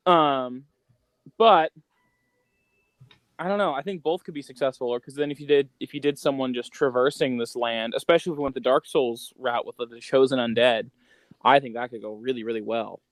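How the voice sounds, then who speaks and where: A man co-hosting a podcast in casual, slangy chat, rambling as he thinks out loud. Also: medium pitch, normal speed, no room sound. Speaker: podcast co-host.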